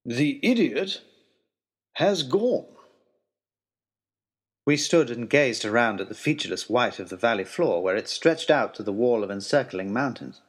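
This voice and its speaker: Animated English male storyteller, slightly husky. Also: on the low side, brisk, few pauses. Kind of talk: dramatic first-person adventure narration in period English.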